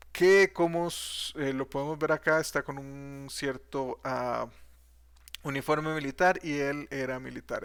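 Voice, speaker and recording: Man, lecturer, unhurried, clear resonant tone, lots of hesitations. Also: mid-range voice, fairly bright tone. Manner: hesitant, frequent fillers and restarts. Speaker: math history teacher. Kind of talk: unscripted video lecture.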